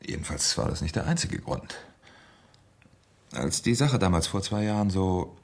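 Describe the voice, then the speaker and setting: A man acting in a German radio crime drama as a nervous, evasive husband with a husky voice and a hesitant, explaining manner. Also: low voice, expressive, moderate pace. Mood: defensive. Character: nervous, evasive kept husband, calculating former accomplice.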